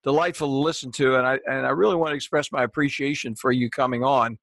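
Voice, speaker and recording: A man's voice: medium pitch, steady tone, quick pace, creaky, a bit bright, no echo. A man hosting a podcast interview, talking casually with lots of restarts and asides, then closing the show. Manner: rambling, with frequent restarts. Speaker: podcast host. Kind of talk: casual interview chat, then scripted outro.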